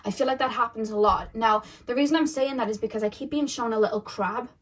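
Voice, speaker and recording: A woman's voice: a little high, steady tone, quick pace, a bit bright. Chatty woman giving a tarot reading. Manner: chatty, musing aloud, repeats questions to spirit guides. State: voice going a little deep. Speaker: tarot reader. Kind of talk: casual tarot reading to camera. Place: indoors at home.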